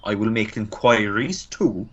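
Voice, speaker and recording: A man's voice: fairly low pitch, lively intonation, a little slow, fairly bright tone, husky, lo-fi sound, exaggerated voice. A man with an Irish accent playing a complacent, dismissive small-town police officer in an amateur radio play. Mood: placating, officious. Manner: placating, hesitant, officious.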